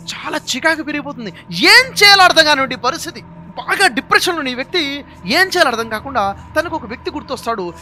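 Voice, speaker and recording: A man's voice: extremely high pitch, sharp tone. A man giving a motivational moral talk, emphatic and repetitive, with frequent rhetorical questions. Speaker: motivational speaker. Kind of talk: motivational speech with moral storytelling.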